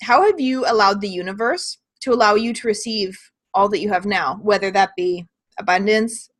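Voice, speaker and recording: A woman hosting a video interview as a creativity coach, upbeat, laughing often and ending her points with a quick "right?". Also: mid-range voice.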